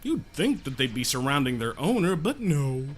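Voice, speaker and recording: An actor in an audio drama playing a glib, teasing gentleman genius, sardonic and mock-polite in his wordy delivery. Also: mid-range voice, big pitch swings, normal speed, music in the background, close to an everyday voice. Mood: wry, composed.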